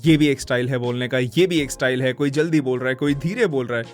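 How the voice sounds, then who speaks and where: Man coaching voiceover, medium pitch. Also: quick pace, faint music behind. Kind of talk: instructional explanation with demonstrations.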